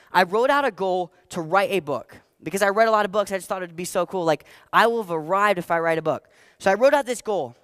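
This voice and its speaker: A fourteen-year-old boy giving a motivational talk on stage, energetic and emphatic, repeating his key phrases. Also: high-pitched, smooth, dry recording. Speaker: motivational speaker. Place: stage before a live audience.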